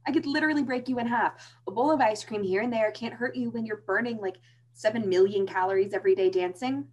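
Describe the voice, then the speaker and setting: Casting: woman as pushy, sardonic American high school girl for a staged play, slightly high, raspy voice. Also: fast, darkish tone, close to an everyday voice. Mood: teasing. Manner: blunt, sardonic. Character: pushy, teasing teenage best friend, brash girl. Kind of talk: virtual staged play reading. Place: small room, indoors. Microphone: computer video call.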